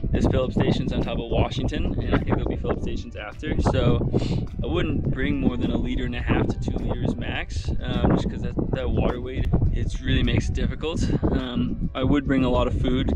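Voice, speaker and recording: A man's voice: mid-range voice, flat delivery, a little fast, muffled recording, very noisy. A young man in his twenties talking casually into an action camera held at arm's length on an open mountain summit, chatty and laughing easily. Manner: laid-back, chatty, laughs often. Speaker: vlogger. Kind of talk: casual hiking vlog. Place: outdoors on a windy rocky mountain summit.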